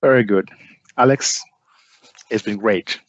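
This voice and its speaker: A man hosting an interview, affirming the guest and pausing on frequent uh fillers. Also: lowish voice, no echo, lo-fi sound. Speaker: interviewer host. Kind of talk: casual interview talk.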